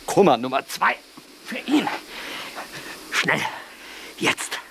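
A low-voiced conspirator in a radio play, wry and urgent. His pitch leaps about wildly over a gravelly creak, with clear noise in the recording. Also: very animated, takes it slowly, full character voice. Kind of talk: German radio drama acting.

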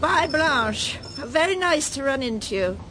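An actress playing a prim, self-satisfied middle-aged English wife in a radio drama, speaking in a clipped, coolly polite and faintly disapproving way. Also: female voice, high-pitched, animated, unhurried, pushed and bright, a little hiss or hum, full character voice. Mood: stiffly polite.

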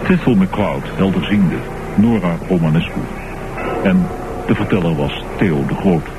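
A man's voice: deep voice, measured pace. A man reading scripted closing credits as a radio announcer. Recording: dull radio broadcast under loud music. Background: loud music.

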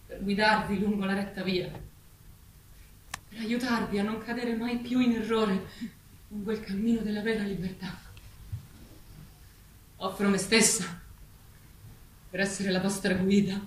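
A woman's voice: mid-range voice, natural voice. An actress playing a female farm animal who leads a revolution, declaiming solemnly like a manipulative preacher in a stage play. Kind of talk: theatrical stage monologue, political oratory. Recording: echoey stage, distant mic, faint noise.

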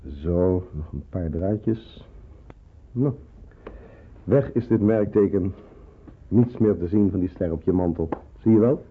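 An actor playing a brisk, fatherly resistance man who gives kind but firm orders in a radio drama, on an old recording. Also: low-pitched, phone-quality sound, slight background noise. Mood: brisk, reassuring. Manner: brisk, instructing, reassuring.

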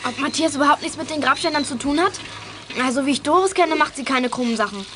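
High-voiced anxious little girl. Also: fast, fairly bright tone, a little hiss or hum. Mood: pondering. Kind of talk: children's audio drama acting.

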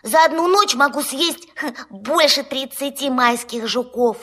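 An actress playing a brisk, proud little female bat in a children's audio play, explaining things in a matter-of-fact, slightly impatient way. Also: high-pitched, sharp tone, full character voice.

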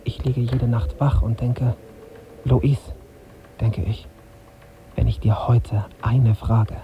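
An actor playing a defiant, brooding young journalist in a radio drama, with a tense, intimate and bitter manner. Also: male voice, medium pitch, steady tone, takes it slowly, dull audio, slight background noise, lightly acted. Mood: brooding, hushed. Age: young adult. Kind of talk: radio drama acting with first-person narration.

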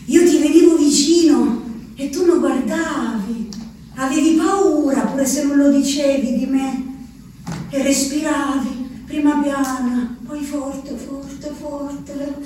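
High-voiced, restless Abruzzese asylum inmate. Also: female voice, steady tone, takes it slowly, warm tone. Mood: hurt, intimate. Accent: Abruzzo Italian. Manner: obsessive counting, repetitive, intimate dialect storytelling. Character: restless Abruzzese peasant wife confined in asylum. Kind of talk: theatrical radio drama monologue, reciting a letter. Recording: roomy, distant, noisy radio-theatre recording.

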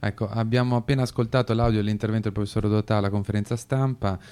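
A man giving semi-improvised political commentary in a halting way, often searching for his words. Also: fairly low pitch, steady tone, dark tone, clear, resonant tone. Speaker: radio commentator.

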